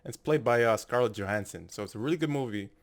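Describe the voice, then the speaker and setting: A man's voice: mid-range voice, fast talker. A man giving casual, off-the-cuff commentary as he plays through a video game.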